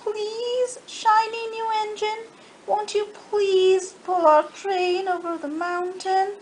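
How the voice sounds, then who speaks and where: A woman's voice: very high-pitched, flat delivery, takes it slowly, voice pushed up, rough recording. A woman voicing little storybook toys and dolls, pleading earnestly for help. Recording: faint background noise.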